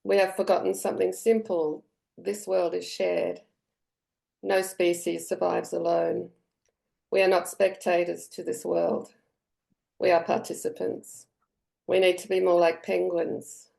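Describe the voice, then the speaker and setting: Low gravelly Australian woman, earnest. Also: little pitch movement, unhurried, dark tone. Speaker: webinar presenter. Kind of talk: reading a prepared talk.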